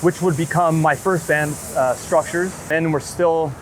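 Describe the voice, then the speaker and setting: A man talking casually and earnestly as an interviewed photographer, rambling in a halting way. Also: fairly high pitch, steady tone, rough recording. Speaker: street and wedding photographer being interviewed. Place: outdoors on a city street. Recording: street noise, passing traffic.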